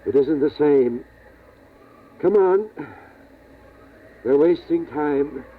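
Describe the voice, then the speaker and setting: A man's voice: a little high, animated, a little hiss or hum. Grave father, tinny vintage radio. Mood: wistful, firm. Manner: measured, grave, halting reassurance. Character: protective, weary father of a family surviving catastrophe. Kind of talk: 1950s radio drama acting.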